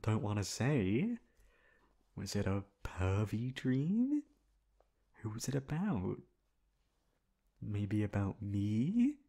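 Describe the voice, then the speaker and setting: A man's voice: lowish voice, huge pitch swings, mellow, heavily acted. A man acting in an ASMR roleplay as a flustered, dominant young best friend, hesitant at times and blunt at others. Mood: playful, gentle. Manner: hesitant with pauses, turning bossy and blunt. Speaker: ASMR voice actor.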